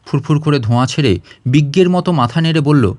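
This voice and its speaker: A man's voice: a little high, expressive, a little fast, a little mellow, dry recording, clean studio sound. A man reading a story aloud as a dramatic scripted audiobook narration.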